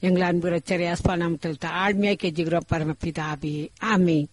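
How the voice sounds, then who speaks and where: High-pitched man in fervent, pleading prayer. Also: steady tone, normal speed, no echo. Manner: pleading, repetitive petitions. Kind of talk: fervent extempore intercessory prayer.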